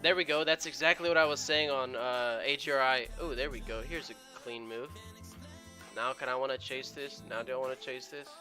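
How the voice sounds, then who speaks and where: A man's voice: medium pitch, a little fast, soft background music. A young man from Mexico chatting casually on a live trading stream, reading out viewer comments as he goes. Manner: relaxed, chatty, reads viewer comments aloud. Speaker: day trading livestreamer. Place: his room at home.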